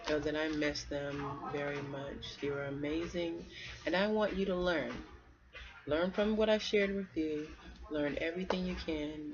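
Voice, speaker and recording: Woman, low voice, amateur read-aloud for children, personal address, slightly dull recording. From United States. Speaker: amateur storybook reader.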